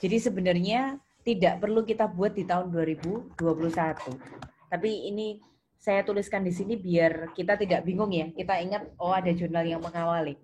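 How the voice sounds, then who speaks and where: A woman's voice: on the low side, fairly quick, darkish tone. A woman lecturing step by step in an instructive way, putting questions to students as she goes. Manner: instructive, questions students and repeats their answers. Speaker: accounting lecturer. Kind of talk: interactive online lesson, explaining step by step. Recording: noisy, with keyboard typing and clicks.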